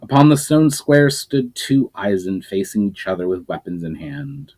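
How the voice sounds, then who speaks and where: A man reading his own fantasy novel aloud as narrator, his pitch rising and falling through each sentence, with small slips and restarts. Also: lively intonation, moderate pace, a little mellow.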